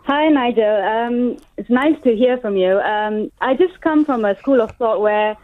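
A young woman from Malaysia, working as a pharmacist, calling a radio phone-in and explaining her view earnestly with many pauses and ums. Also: a little high, dry recording. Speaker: caller, pharmacist. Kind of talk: phone-in call. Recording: tinny phone line.

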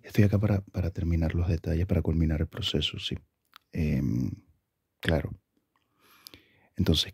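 A man speaking softly and affectionately in an intimate ASMR boyfriend roleplay, with a husky, gravelly voice and an unhurried manner. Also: sits low, no room sound, studio quality. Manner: soft-spoken, affectionate, unhurried.

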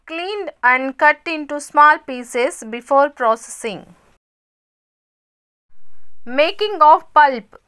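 Female voice-over for a kids' lesson: high, clear, unhurried, reads headings then explains. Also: expressive, fairly bright tone. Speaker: narrator of a school lesson video. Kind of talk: scripted lesson narration.

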